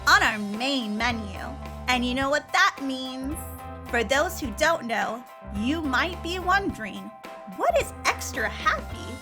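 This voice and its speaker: A woman playing a chirpy fast-food commercial announcer with exaggerated salesy cheer. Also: high-pitched, very animated, full character voice. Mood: gushing cheer. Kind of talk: peppy advertising voice-over. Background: music bed.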